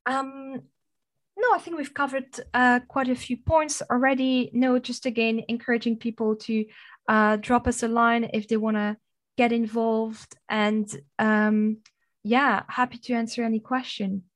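A woman from Belgium with a French accent, speaking as a guest in an interview, measured and with frequent fillers. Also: a little high, flat delivery. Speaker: guest, sustainability consultant. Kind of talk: conversational interview.

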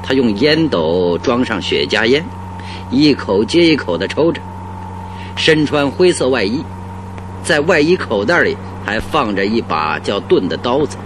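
An actor playing a hearty, triumphant detective in a theatrical Chinese radio drama style. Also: male voice, medium pitch, big pitch swings, measured pace, noisy background. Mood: confident, teasing. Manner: exclaiming, chuckling, theatrical. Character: triumphant, confident male detective, hearty and teasing. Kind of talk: old-time Chinese radio drama acting. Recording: radio drama with music underneath.

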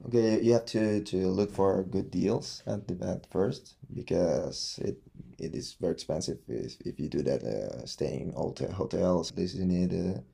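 Low, gravelly man with a Venezuelan accent. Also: mellow. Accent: Venezuelan Spanish. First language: Spanish. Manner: halting, searching for words with many restarts. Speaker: podcast guest. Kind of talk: casual interview chat.

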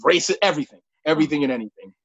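Male guest, late thirties, slightly high voice, casual and halting, muffled lo-fi recording. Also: gravelly, slightly rough, dry recording. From United States. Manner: halting, repeats words and phrases. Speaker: podcast guest, sports referee. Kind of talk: casual conversational interview.

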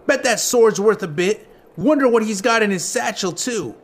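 A man's voice: sits high, expressive, moderate pace, voice pushed up. A man voicing a cocky, needling young boy from a street gang in a story. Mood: scheming. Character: jeering young street-gang boys egging each other on.

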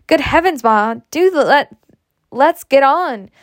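Woman reading as impatient young daughter, high-pitched. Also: normal speed, no echo, clean studio sound, close to an everyday voice. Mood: impatient, whiny. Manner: complaining, impatient.

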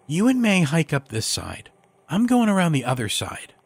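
A man playing a gruff, bossy hunter in an audio story, husky and commanding, in short clipped orders. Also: a little high, wildly swooping pitch, normal speed, no room sound, pitched above the performer's own voice, exaggerated voice.

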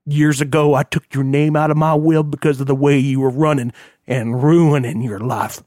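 A man's voice: a little high, fairly even intonation, moderate pace, darkish tone, no echo, studio quality, close to an everyday voice. A man voicing a stern, bitter, ailing old rich father in an audiobook, scolding and folksy as he gives orders. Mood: gloating. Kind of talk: audiobook character acting.

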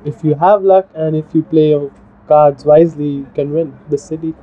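A woman answering interview questions calmly with a metaphor. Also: deep voice, normal speed, highs cut off. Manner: calm, metaphorical.

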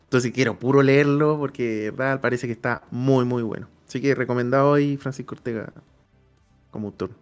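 A man's voice: mid-range voice, steady tone, brisk, clean tone. A man with a Chilean accent co-hosting a live stream on a video call, chatting casually and repeating himself for emphasis. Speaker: co-host of a role-playing live stream. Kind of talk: casual chat. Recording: music playing behind the voice.

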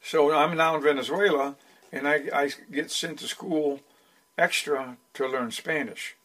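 A man's voice: fairly high pitch, steady tone, bright, forceful tone, low-quality recording. Older Dutch-born man telling stories. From Netherlands. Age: 80s. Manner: unhurried storyteller with frequent restarts. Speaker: guest. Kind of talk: storytelling interview.

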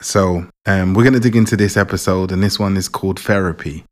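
A Jamaican-born man raised in England, hosting his own podcast in a relaxed, rambling way and often repeating words. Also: low voice, brisk. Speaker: podcast host. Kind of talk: casual personal monologue.

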